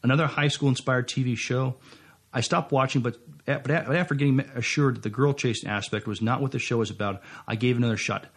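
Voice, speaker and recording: A man's voice: mid-range voice, steady tone, fast, slightly rough. Man, mid-range voice, podcast co-host, casual delivery, reads listener mail informally. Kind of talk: casual podcast chat, reading listener email.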